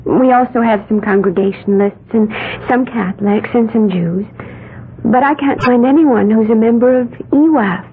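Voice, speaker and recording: A thin, hissy vintage radio recording carries an actress playing a young woman, high-voiced and otherworldly. She is earnest and gentle, an odd little self-styled priestess who muses dreamily in radio-play style. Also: normal speed, narrow, tinny audio, lightly acted. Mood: gentle, wistful. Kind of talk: old-time radio drama acting. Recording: thin, band-limited old radio recording with hiss.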